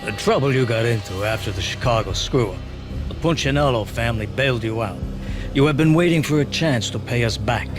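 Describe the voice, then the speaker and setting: A man's voice: medium pitch, a little fast, music in the background, natural voice. An actor playing a blunt, menacing mob henchman in a video game. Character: mob henchman giving threatening orders.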